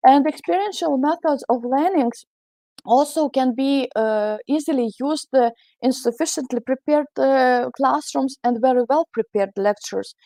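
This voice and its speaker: A woman's voice: high-pitched, unhurried, mellow, dry recording. Hesitant Lithuanian-accented woman lecturer. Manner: hesitant, many fillers and restarts. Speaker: university lecturer presenting at a conference. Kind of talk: conference presentation.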